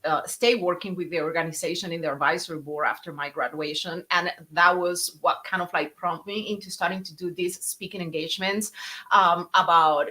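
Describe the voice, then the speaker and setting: Bright-voiced Cuban woman telling her story. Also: on the low side. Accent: Cuban Spanish. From Cuba. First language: Spanish. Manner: animated, storytelling, frequent fillers and restarts. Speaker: guest speaker. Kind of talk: casual podcast chat.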